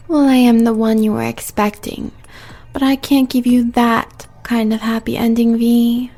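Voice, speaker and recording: A British woman playing a flirtatious, teasing fiery Christmas spirit in a comedic audio roleplay, sultry and unhurried. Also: a little high, flat delivery, dark tone. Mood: teasing, seductive.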